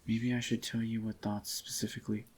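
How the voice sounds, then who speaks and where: A man doing a soft-spoken, intimate ASMR roleplay as an adoring, possessive boyfriend, in a slightly groggy, unhurried murmur. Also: lowish voice, little pitch movement, husky. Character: adoring possessive young boyfriend, tender and clingy. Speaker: ASMR voice actor.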